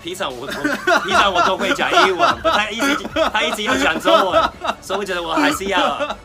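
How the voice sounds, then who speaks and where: An American man hosts a casual chat at a brisk clip. His manner is breezy, full of fillers and checking questions. Music plays clearly behind him. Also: extremely high pitch, sing-song. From New York, United States. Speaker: host of a video channel. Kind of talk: casual chatty interview, switching between English and Mandarin. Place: outdoor garden seating by apartment buildings.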